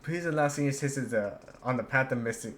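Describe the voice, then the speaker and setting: A man streaming a game, reading its dialogue aloud haltingly and adding casual commentary. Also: mid-range voice, a bit bright, slight background noise. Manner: halting read-aloud with stumbles.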